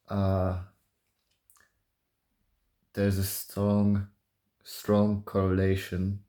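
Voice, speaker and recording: A man in his early twenties speaking softly and calmly in a halting, hesitant way, thinking aloud in a personal confessional vlog. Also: sits low. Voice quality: soft voice. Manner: calm, halting, hesitant with long pauses. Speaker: vlogger.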